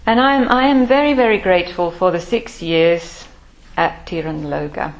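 Older woman telling her life story slow and thoughtful, lots of pauses. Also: on the low side, poor audio. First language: Finnish. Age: 60s. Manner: measured, thoughtful, pausing often. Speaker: Buddhist order member. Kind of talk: reflective personal life-story talk.

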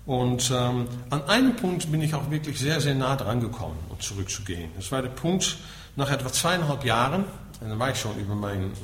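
Dutch accent guy giving a buddhist talk, lively up and down pitch, roomy echo, native dutch speaker. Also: a little fast, hardly any pauses. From Netherlands. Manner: explanatory, punctuating points with a questioning tag. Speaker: Buddhist teacher. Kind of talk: spoken lecture to listeners. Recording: echoey room with faint background noise.

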